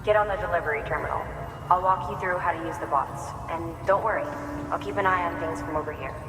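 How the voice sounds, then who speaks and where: A man's voice: on the high side, fast talker, over music, close to an everyday voice. An actor playing a polite male engineer and new father who explains his delivery bots at length, in a game cutscene. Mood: earnest. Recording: echoey hall with loud background noise.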